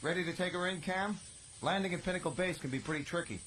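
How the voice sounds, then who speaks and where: An actor playing a friendly, easygoing heroic young starfighter pilot in a space-opera audio drama. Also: male voice, sits high, fast talker, background noise, lightly acted.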